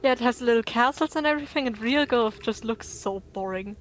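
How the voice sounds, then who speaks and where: A woman's voice: a little high, brisk. A woman chatting casually on an online voice call during a game stream. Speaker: co-streamer in voice chat. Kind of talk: casual chat. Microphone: Discord voice call with noise cancellation. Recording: noisy online voice chat.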